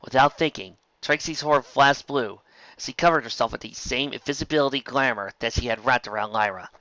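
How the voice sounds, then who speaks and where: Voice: medium pitch, brisk, pushed and bright, no echo, dull audio. A narrator reading scripted fan fiction as an audiobook, in a storytelling style.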